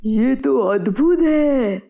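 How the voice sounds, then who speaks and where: A woman's voice: high-pitched, animated, extremely slow, gravelly, dull audio, full character voice. A woman voicing an honest, worried farm labourer in a children's moral story cartoon, earnest and plain-spoken. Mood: agreeable, amazed.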